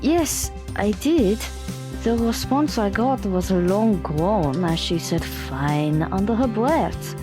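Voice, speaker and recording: A woman acts out a timid teenage girl in an audio drama. She speaks very slowly and hesitantly, her pitch moving up and down a lot. Music sits underneath. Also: expressive. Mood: resigned.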